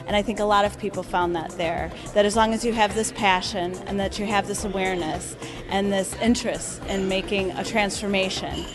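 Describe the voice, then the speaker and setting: A woman teacher from Chicago speaks sincerely and openly about her training. Clear music plays behind her. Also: mid-range voice, fairly even intonation, a little fast. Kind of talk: testimonial.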